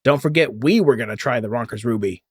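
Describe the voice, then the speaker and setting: A man's voice: mid-range voice, fast talker, darkish tone, slightly rough, no echo, studio quality, lightly acted. A man voicing a tough, slangy henchman in a comic-book gang. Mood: scheming.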